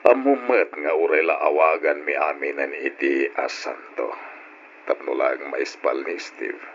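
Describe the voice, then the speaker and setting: A man's voice: extremely low pitch, measured pace, vocal fry, a bit bright, exaggerated voice. An actor playing a grave older father who pleads with solemn authority in an old-style radio drama. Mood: grave, pleading. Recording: dull, noisy old radio drama recording.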